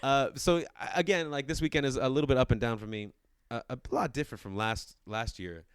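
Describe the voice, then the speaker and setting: A man's voice: medium pitch, a little fast, clean tone, no room sound, studio quality. A man in his thirties co-hosting a casual podcast chat, rambling and restarting his sentences, often laughing. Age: 30s. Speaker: podcast co-host.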